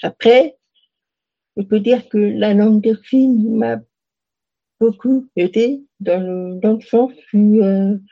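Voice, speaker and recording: Young woman, hesitant and breathy. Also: medium pitch, fairly even intonation, takes it slowly, dull audio. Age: young adult. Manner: hesitant, many fillers and restarts. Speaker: interview guest. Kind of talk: casual interview answers.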